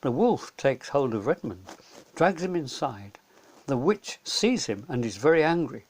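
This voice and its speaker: Animated male children's storyteller. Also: mid-range voice, a little slow. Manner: simple, clear retelling for children.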